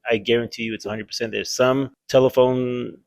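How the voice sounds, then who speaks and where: A man's voice: mid-range voice, fairly even intonation, a little fast, vocal fry, no room sound. A man hosting a reaction video, chatting casually and reading viewer comments aloud.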